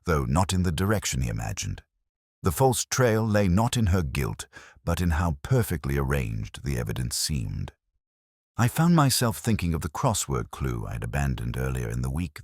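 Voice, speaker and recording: Very deep-voiced man narrating a brooding mystery. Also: no room sound, studio quality. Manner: measured, literary, brooding. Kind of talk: scripted first-person detective story narration.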